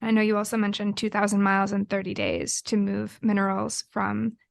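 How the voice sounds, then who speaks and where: A woman's voice: medium pitch, expressive, fairly quick, gravelly, no room sound. A woman interviewing a guest on a podcast, asking questions in a conversational way.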